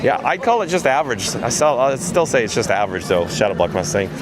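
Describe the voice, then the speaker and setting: A man with a hoarse, raspy voice live-streaming from outdoors at a theme park, reading viewer comments aloud in an upbeat, chatty way. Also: medium pitch, sing-song, fast talker, noisy background. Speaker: vlogger. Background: music.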